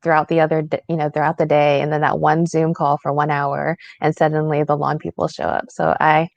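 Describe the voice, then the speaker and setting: A woman's voice: low voice, level tone, brisk, gravelly, no echo, highs cut off. A woman moderating a festival Q&A, asking prepared questions in a friendly way over a video call. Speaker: interviewer.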